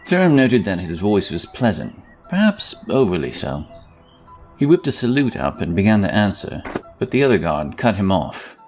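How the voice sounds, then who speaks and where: A man's voice: fairly low pitch, big pitch swings, moderate pace, a little mellow, faint music behind. A man reading scripted fantasy narration as an audiobook narrator.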